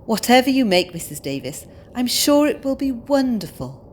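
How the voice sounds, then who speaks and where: Actress as spirited young British wife, earnest and courteous, animated intonation, audio drama. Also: female voice, a little high, moderate pace, slight background noise. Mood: cheerful. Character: spirited young British expectant mother, polite but outspoken feminist.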